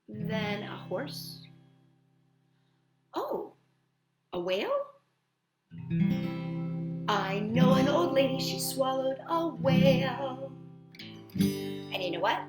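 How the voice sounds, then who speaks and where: Animated, high-voiced woman storyteller for kids. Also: roomy sound, soft background music. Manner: playful, expressive, drawn-out words with chatty asides. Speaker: children's storytime host. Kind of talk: animated picture-book read-aloud and children's singing.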